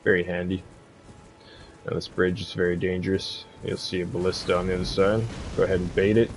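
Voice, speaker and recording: A man giving a casual, relaxed video game walkthrough as the host of a guide, in informal language. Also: deep voice, a little fast, faint music behind. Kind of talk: casual gameplay walkthrough commentary.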